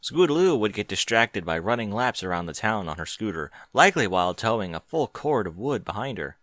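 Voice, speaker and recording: A man reading a fan fiction story aloud with expressive narration. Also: lowish voice, a little fast, no echo, clean studio sound. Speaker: audiobook reader.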